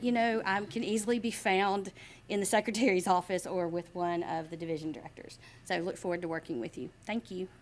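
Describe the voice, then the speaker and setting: A woman from Mississippi who runs a state Medicaid program introduces herself in a chatty, self-deprecating way and says her voice gets loud. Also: mid-range voice. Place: meeting room.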